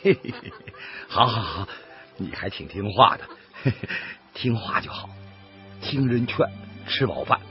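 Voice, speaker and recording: Folksy Northeastern Chinese boatman, highly animated. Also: measured pace, long pauses. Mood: amused. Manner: folksy, earnest, plain-spoken. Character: kindly, principled boatman and bird protector. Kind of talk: radio drama acting. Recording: dull radio recording with loud music.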